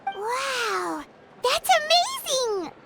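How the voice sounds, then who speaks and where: A woman voicing a small, excitable childlike fairy companion in a video game, squeaky and given to exclaiming. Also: very slow, vocal fry, exaggerated voice. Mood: amazed. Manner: speaks of herself in third person, exclaiming. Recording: voice over loud game music.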